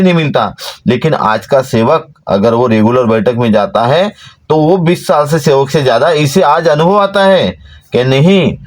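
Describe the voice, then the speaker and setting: A man, giving an earnest, exhorting devotional talk to followers from inside a parked van. His pitch rises when he stresses a point. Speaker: devotional channel host.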